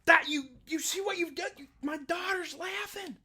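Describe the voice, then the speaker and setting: A woman voicing a tired, middle-aged village sheriff in a told folktale, drawling out slow, measured questions. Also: lowish voice, very animated, fairly bright tone, exaggerated voice. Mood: delighted.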